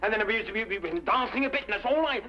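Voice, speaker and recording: A man playing a nervy, slippery stage escape artist in a vintage detective drama, excitable and pleading, on an old recording. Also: high voice, quick pace. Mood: frantic pleading. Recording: dull old broadcast recording with music.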